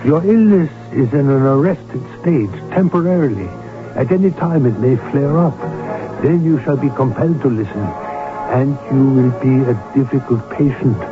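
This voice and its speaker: An actor playing an earnest, urbane court doctor in a radio drama, speaking formally and with courtly polish. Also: male voice, medium pitch, moderate pace, lightly acted. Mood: urbane, coaxing. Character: earnest, urbane royal physician and loyal confidant. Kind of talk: 1970s radio drama acting. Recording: tinny narrow old radio broadcast, music behind.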